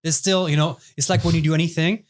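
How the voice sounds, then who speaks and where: A young man hosting a casual podcast interview in a small studio, relaxed and chatty with lots of fillers. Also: on the high side, fast, no echo. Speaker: podcast host.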